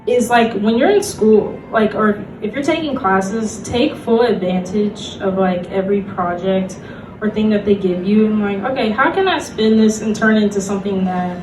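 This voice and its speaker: A woman's voice: mid-range voice, normal speed. Young woman chatting in an echoey panel room. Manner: rambling, chatty. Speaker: convention panelist. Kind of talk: casual informal panel talk. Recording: echoey room, distant mic, faint music.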